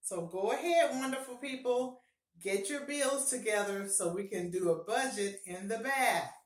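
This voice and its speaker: A woman's voice: on the high side, a little slow. Encouraging woman in an echoey bathroom. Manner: upbeat, encouraging, repeats key advice. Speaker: personal finance vlogger. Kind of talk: casual instructional vlog. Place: small home bathroom, indoors. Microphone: fixed camera at close range.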